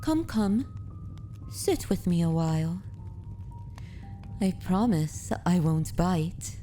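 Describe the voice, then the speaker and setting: A woman's voice: lowish voice, very animated, dark tone, faint music behind. A woman voicing a centuries-old noble vampire mistress in an ASMR roleplay, speaking formally with courtly, commanding and wistful poise. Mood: gracious. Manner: formal, unhurried, aristocratic phrasing. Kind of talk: ASMR roleplay audio drama.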